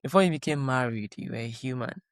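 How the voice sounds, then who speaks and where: A woman guest in a conversational interview, reflective and calm, repeating her key phrases for emphasis. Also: extremely low pitch, fast, no room sound, clean studio sound. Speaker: guest, doctoral researcher.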